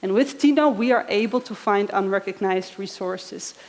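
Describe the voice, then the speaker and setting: A woman's voice: mid-range voice, moderate pace. A woman in her thirties from the Netherlands with a Dutch accent, giving a friendly conference talk and asking the audience questions. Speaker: conference speaker. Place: large conference hall. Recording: echoey hall.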